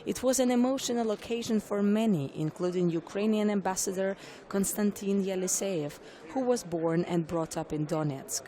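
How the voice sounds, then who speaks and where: A woman news reporter giving clear, formal scripted narration. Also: mid-range voice, moderate pace, warm tone.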